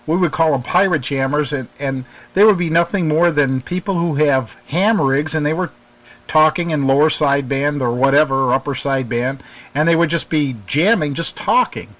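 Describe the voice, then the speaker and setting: A man's voice: on the high side, normal speed, a bit bright, rough recording. A man hosting a radio show, chatting casually with a guest and asking questions. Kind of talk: casual interview chat.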